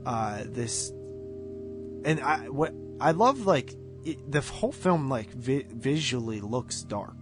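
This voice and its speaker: A man hosts a casual movie podcast in a mid-range voice. He thinks aloud, halting and stacking qualifiers as he reviews. Music plays clearly behind him. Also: mid-range voice, big pitch swings. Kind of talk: casual film review chat.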